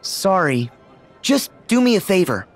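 An earnest teenage boy hero, upbeat and sincere in game dialogue. His pitch moves around freely, with music underneath. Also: sing-song, a little slow, heavily acted.

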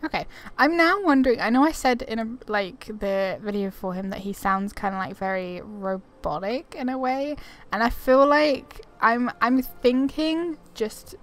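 A young woman with a fairly high voice chats casually through a game playthrough. She rambles informally in a giggly way, with game music playing behind her. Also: unhurried. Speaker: gaming video host.

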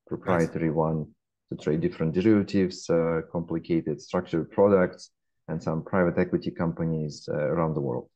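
A man in his forties with a Russian accent gives measured interview answers, often pausing with fillers. His voice is very low, dark and mellow. The webcam call audio comes through dull and lo-fi. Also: fairly even intonation. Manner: measured, frequent hesitations. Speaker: guest, fintech founder. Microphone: laptop webcam.

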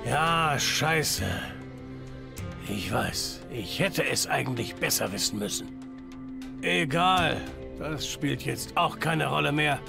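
An actor voicing a gruff, foul-mouthed, betrayed but loyal enforcer in a video game, with a gravelly, blunt delivery. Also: male voice, a little high, lively intonation, slow pace, heavily acted. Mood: bitter, resigned. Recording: background music. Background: game music.

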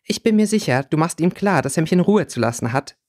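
A man's voice: on the high side, sing-song, brisk, husky, pitched above the performer's own voice, exaggerated voice. A man voicing a haughty, coldly scornful teenage girl in a fantasy audiobook. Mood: scolding, cold. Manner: disdainful, cool.

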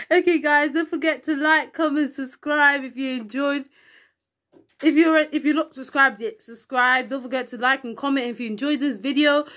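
A young woman speaks close to a handheld phone in her living room. Her voice sits a touch high, and she sounds breathless and low-voiced between sets. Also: unhurried, pauses often, a bit bright. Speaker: vlogger. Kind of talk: casual vlog chat.

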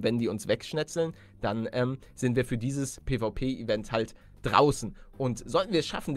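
A man giving casual, chatty gaming commentary as a let's-play host, using youthful slang and addressing his viewers. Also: medium pitch.

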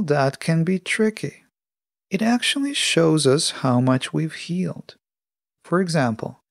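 A podcast host giving a scripted solo talk in a measured, instructive manner. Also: mid-range voice, vocal fry, a little mellow, dry recording, studio quality.

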